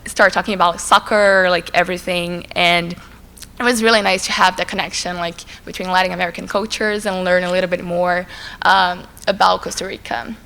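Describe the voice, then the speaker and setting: A woman's voice: fairly low pitch, normal speed, vocal fry. Chatty Brazilian teen girl in a hall. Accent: Brazilian Portuguese. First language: Portuguese. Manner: relaxed, chatty, many "like" fillers. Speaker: student presenter. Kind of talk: school assembly presentation. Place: school assembly hall. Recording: long reverb in a large hall.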